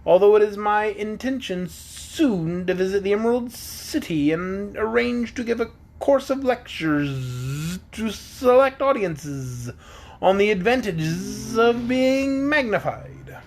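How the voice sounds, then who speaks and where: A storybook reader voicing a pompous, long-winded insect gentleman who speaks slowly and grandly. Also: medium pitch, lively intonation, a little hiss or hum, pitched above the performer's own voice. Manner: slow, drawn-out, grandly formal delivery.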